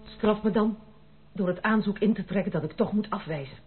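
A woman's voice: mid-range voice, brisk, lightly acted. An actress playing a proud young noblewoman in a Dutch radio play, speaking in a haughty, dramatic and formal way. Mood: hurt, furious. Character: proud young noblewoman, wounded, indignant, unyielding. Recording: muffled narrow-band radio play recording.

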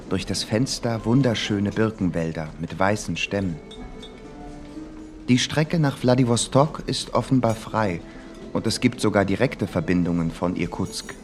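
A man's voice: fairly low pitch, lightly acted. A man playing an observant traveller, reading diary entries as narration in a radio play.

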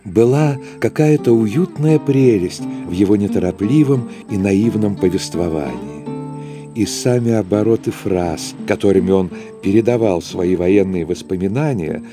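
Male narrator, lowish voice, literary scripted narration, prominent plucked guitar behind. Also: animated, unhurried. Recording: music under the voice. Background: plucked guitar music.

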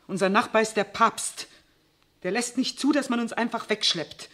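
An actress playing a gentle, hopeful young wife and mother who often whispers in a 1960s radio drama. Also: on the high side, moderate pace. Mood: pleading. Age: young adult. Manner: soft, pleading, often whispering.

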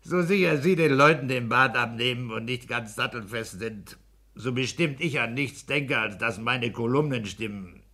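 An actor playing a smug, pedantic clerk and husband in a 1960s German radio play, blunt and domineering. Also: male voice, moderate pace, sharp tone. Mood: boisterous, mocking. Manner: exacting, domineering, booming bursts of mockery.

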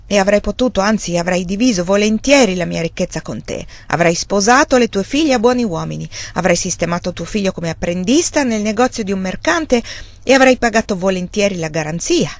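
A woman voicing a greedy old man, a village uncle who turns from shrill and trembling to fawning and wheedling. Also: animated, a little fast, no echo, close to an everyday voice. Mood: self-pitying. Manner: shrill and trembling when angry, fawning when begging.

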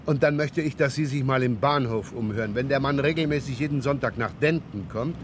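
A man's voice: medium pitch, fairly quick, noisy background, natural voice. A man acting a shrewd, rumpled, talkative provincial police inspector in a radio crime drama, probing and rambling with wry repetitions.

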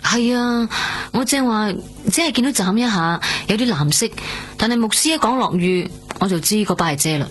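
With music behind her, a woman acts as a caring young female helper in a radio play. She speaks Hong Kong Cantonese at a middle pitch, tentative and conversational. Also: expressive, normal speed, lightly acted. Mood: curious. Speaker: actress in a radio drama. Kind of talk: naturalistic radio drama dialogue.

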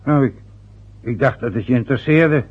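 A man's voice: medium pitch, normal speed, slightly rough, lightly acted. An older man playing a stubborn, sarcastic father in a radio drama. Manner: grumbling, sarcastic. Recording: dull lo-fi old radio recording.

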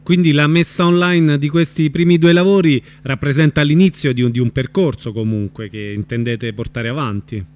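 A man's voice: a little high, moderate pace, few pauses, a little mellow. A man interviewing a guest informally, putting his questions together in tentative, broken phrases. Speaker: radio interviewer.

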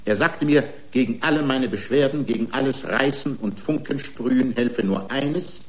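An actor playing an aggrieved, excitable racing driver in a radio drama, pleading his case in indignant, long-winded, formal rhetoric. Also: medium pitch, close to an everyday voice. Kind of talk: radio drama acting, courtroom speech.

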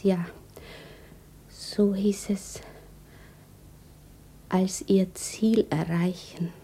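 An actress playing a lovesick, brooding widow in a radio drama, speaking in halting, intimate inner monologue. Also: female voice, medium pitch, mellow, husky. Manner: halting, intimate, often whispering. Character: lovesick young widow Bertha, brooding, wavering between doubt and pride.

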